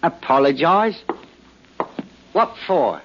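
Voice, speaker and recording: An actor playing a matey, nosy Cockney pub regular, garrulous and slangy, in 1940s radio drama style. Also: male voice, fairly high pitch, very animated, slow pace, fairly bright tone, slight background noise, full character voice. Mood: casual. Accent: Cockney English. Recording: muffled 1940s radio recording.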